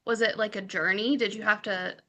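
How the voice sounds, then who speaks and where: A woman's voice: medium pitch, steady tone, a little fast, sharp tone. A woman hosting a casual podcast chat, upbeat and playfully teasing. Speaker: podcast host.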